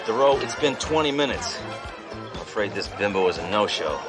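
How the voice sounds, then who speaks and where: An actor playing a cocky, tough cop who talks in gruff deadpan one-liners. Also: male voice, on the low side, moderate pace, music in the background, a crowd in the background, lightly acted. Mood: impatient.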